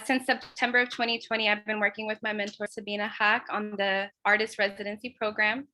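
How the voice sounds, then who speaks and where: A woman's voice: mid-range voice, sharp tone. A woman from Los Angeles, a resident artist, giving an earnest prepared presentation of her community project.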